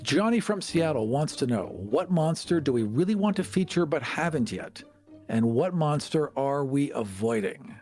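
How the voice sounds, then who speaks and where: A man's voice: on the high side, very animated, normal speed, darkish tone, soft background music. A man co-hosting a podcast in a casual discussion about gaming. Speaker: podcast co-host.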